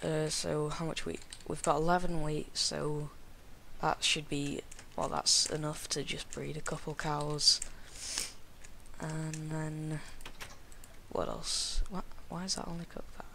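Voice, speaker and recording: Slow, gravelly man with a cold. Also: a little high, little pitch movement, pushed and bright, slight background noise. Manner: slow, mumbling, talks to the animals. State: croaky with a cold. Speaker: gaming video creator. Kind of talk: casual gameplay commentary.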